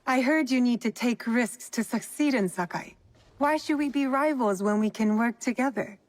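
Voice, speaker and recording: A woman's voice: a little high, normal speed, long reverb tail, natural voice. An actress playing a bold, composed young Japanese woman posing as a trader in a dramatic video game cutscene. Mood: confident, polite.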